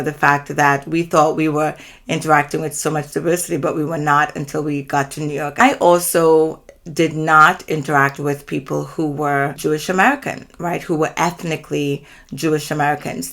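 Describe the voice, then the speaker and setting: Low-voiced Trinidadian woman telling a story. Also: gravelly, a bit bright. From Trinidad and Tobago. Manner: measured, earnest, explanatory. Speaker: channel host. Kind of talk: personal storytelling to camera.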